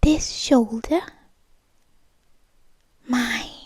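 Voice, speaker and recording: A woman's voice: on the high side, no echo, studio quality, exaggerated voice. Woman playing a whispering possessive British girlfriend. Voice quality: whispery, soft and breathy. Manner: slow, intimate, menacingly sweet. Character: possessive obsessive rich young girlfriend, coldly doting. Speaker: ASMR roleplay performer. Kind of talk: ASMR roleplay. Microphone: close microphone.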